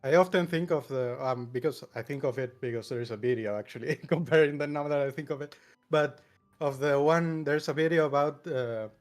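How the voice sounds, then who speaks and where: A man's voice: a little high, fairly quick, faint music behind. Hesitant Argentine man in casual chat. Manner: hesitant, many uhs, laughs between phrases. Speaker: podcast panelist.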